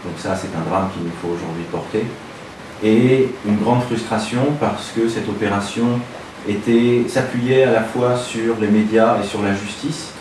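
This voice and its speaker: A man answers interview questions in a composed, deliberate way, pausing now and then. His voice is fairly low and comes from some distance from the microphone. The room echoes strongly over a steady background noise. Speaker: interviewee, operation organizer. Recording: echoey room, mic far off, noisy.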